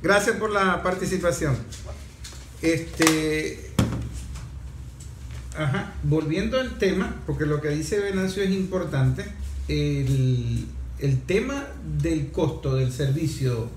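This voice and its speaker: A man's voice: fairly high pitch, lively intonation, slow. A man with a Venezuelan accent moderating a talk programme conversationally, reflective and often searching for his words. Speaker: host, moderator. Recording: echoey room, faint noise.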